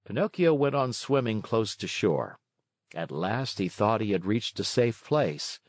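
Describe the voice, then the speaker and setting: A man's voice: on the low side, very animated, unhurried, no echo, studio quality. A man reading a children's story aloud as a scripted narration.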